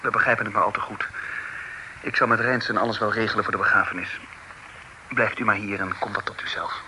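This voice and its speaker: An actor playing a composed, considerate gentleman in a vintage radio play, speaking in a measured, formal, sympathetic way. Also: on the low side, fairly even intonation, natural voice. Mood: calm, sympathetic. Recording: noisy old radio drama recording.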